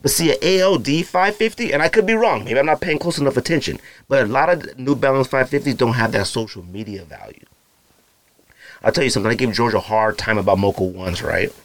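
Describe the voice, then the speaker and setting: A man in his thirties with a naturally deep voice, hosting a podcast in loose, slangy casual chat full of fillers and asides to his listeners. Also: fairly quick, dry recording. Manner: feels hot and uncomfortable while recording. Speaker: podcast host. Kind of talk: casual solo podcast rambling.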